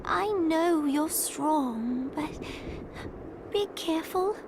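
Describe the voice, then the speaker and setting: A very high, timid little girl in a video game. She is polite and speaks slowly, with expressive swings in her voice. Music plays behind her. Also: background noise, full character voice. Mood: worried.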